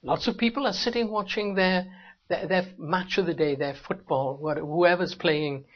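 A man's voice: normal speed, dull audio. A Welsh man preaching a sermon in a church, talking to the congregation in a conversational way and asking rhetorical questions. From Wales. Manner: conversational, rhetorical questions, rises sharply in pitch for emphasis. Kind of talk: sermon with opening prayer. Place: church hall.

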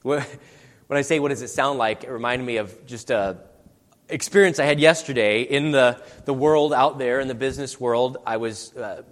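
A man's voice: mid-range voice, moderate pace. Man preaching in an echoing hall. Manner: conversational, asks many rhetorical questions. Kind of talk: sermon. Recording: long hall reverb.

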